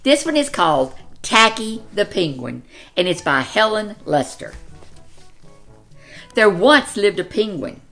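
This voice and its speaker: A woman reading a children's picture book aloud as a storyteller, with expressive, deliberate, dramatic phrasing. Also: medium pitch, faint music behind.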